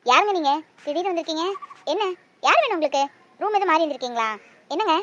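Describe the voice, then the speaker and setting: A woman's voice: high voice, sing-song, quick pace, muffled recording, a little hiss or hum. A woman playing a young mother who fiercely guards her child. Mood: angry. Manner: coaxing to her child, sharp when confronted. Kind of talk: Tamil drama acting.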